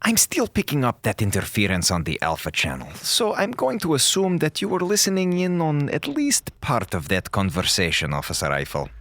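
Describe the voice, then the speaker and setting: An actor playing a cold, calculating doctor in a space audio drama, formal, measured and condescending, with a lively, swooping delivery. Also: male voice, medium pitch, a little hiss or hum. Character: cold, calculating mutinous male doctor aboard a space station.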